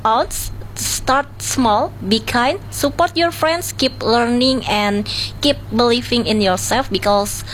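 A woman's voice: a little high, slow, hardly any pauses, slight background noise, low-quality recording. Encouraging Indonesian-accented teen girl host. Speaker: student podcast host. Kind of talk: casual school podcast hosting.

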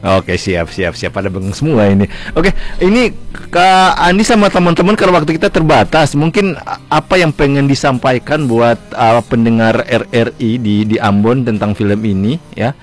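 A man hosting a radio talk show interview, upbeat and quick to say "okay, ready". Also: mid-range voice, animated, soft background music. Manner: upbeat, repeats "oke, siap" often. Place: radio studio.

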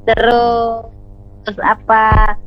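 A woman ringing a radio station to ask casual questions slowly and haltingly, faint music behind. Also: on the high side, completely flat delivery, lo-fi sound. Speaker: listener calling in.

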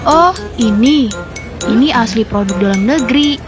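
A woman's voice: fairly high pitch, animated, moderate pace, close to an everyday voice. A woman voicing an earnest young patriot in a scripted radio public service ad, preachy and spirited. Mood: proud, earnest. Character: earnest young patriot proud of local products. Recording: music and clinking behind the voice.

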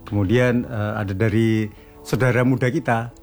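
A man with a Javanese accent co-hosting a friendly radio chat, cheerfully agreeing with his partner. His pitch sits in the ordinary middle range for a man. Faint music runs under the studio talk. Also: fairly even intonation, a little mellow. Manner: upbeat, agreeable, quick to affirm. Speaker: radio co-host.

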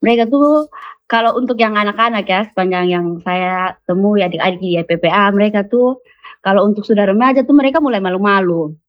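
A woman who mentors children speaks casually as a radio guest, halting and restarting as she goes. The sound is dull and lo-fi, dry and close. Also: medium pitch, dry recording. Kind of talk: radio talk show guest.

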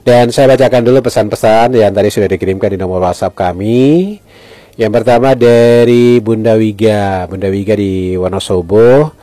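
A man's voice: on the low side, moderate pace, mellow. A man with an Ambonese Malay accent hosting a late-night radio call-in show, chatting and reading out listener messages in a jovial way. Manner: jovial, often chuckling. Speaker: radio host. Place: radio studio. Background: music in the background.

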